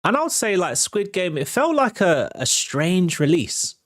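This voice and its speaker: A man's voice: high-pitched, sing-song, a little slow, fairly bright tone, dry recording, studio quality. A British man hosting an entertainment news livestream, talking in a casual, slangy way and thinking aloud as he gives his commentary.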